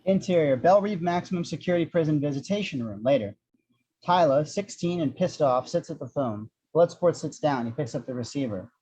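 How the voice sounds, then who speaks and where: A man narrates stage directions for an amateur script read. His voice sits a little high and has a husky grain. The sound is somewhat dull and lo-fi. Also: expressive, brisk, dark tone.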